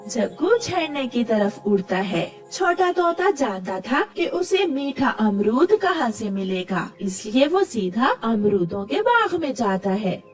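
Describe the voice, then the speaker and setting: High, animated woman storyteller. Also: slow, hardly any pauses. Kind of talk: scripted children's story narration. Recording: distant mic with music behind.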